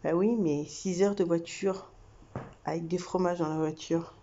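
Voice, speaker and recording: Chatty young Frenchwoman with a dull mellow voice. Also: fairly low pitch, a little slow. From France. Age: young adult. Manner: chatty, many fillers and laughs, animated swings. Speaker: vlogger. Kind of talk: casual storytelling to camera.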